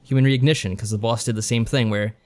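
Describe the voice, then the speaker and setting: A man with a medium-pitched voice talks as a podcast co-host. His delivery is casual, conversational review chat. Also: steady tone, fast talker, darkish tone.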